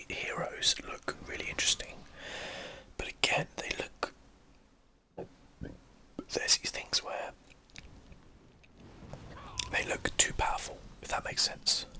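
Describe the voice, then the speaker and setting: A man's voice: slow, long pauses, pushed and bright. A man whispering ASMR commentary over a game, musing and thinking aloud in short phrases. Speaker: gaming video host.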